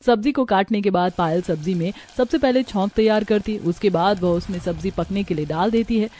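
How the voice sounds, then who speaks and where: A woman's voice: mid-range voice, a little fast, mellow. A woman narrating a scripted moral story as a storyteller.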